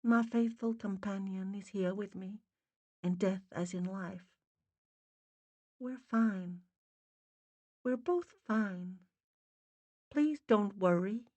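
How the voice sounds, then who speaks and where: A woman's voice: fairly high pitch, slow, long pauses, no room sound, voice pushed up. A woman voicing the tender spirit of a dead mother, with a Boston accent, faint and muffled. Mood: soothing.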